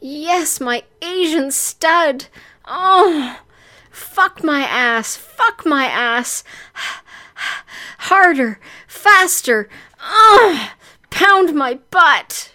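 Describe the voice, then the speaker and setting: Adult superheroine for erotic audio female performer: raised pitch, loud, breathy, gasping and overwrought delivery. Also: lively intonation, drawn-out words, exaggerated voice. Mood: aroused, frantic. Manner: breathy moaning, crying out, gasped exclamations. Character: bound comic-book superheroine, adult Amazon woman, loud and overwrought. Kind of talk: erotic audio story character acting.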